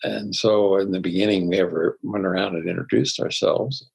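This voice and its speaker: Older man, gravelly, husky voice, low pitch, reflective storytelling, interview guest. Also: steady tone, low-quality recording. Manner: reflective, unhurried anecdotes with soft laughs. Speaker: psychologist guest.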